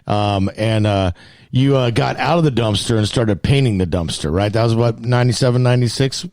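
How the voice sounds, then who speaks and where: Male co-host, 50s, relaxed conversational interjections. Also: medium pitch, fairly quick, no room sound, clean studio sound.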